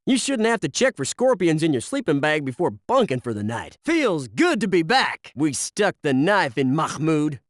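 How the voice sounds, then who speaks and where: An actor playing a brash, wisecracking American military commando in a video game, with clipped, gung-ho tactical talk and wry slang. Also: male voice, sits high, a bit bright, dry recording, studio quality, far from the mic. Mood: griping, gung-ho. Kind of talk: video game voice acting, tactical battlefield barks.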